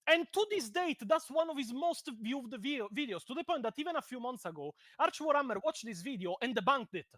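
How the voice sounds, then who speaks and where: Blunt Italian man, high voice. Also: brisk, no room sound, clean studio sound. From Italy. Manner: blunt, profane, animated. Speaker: guest commentator. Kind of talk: heated casual video-call chat. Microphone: video call.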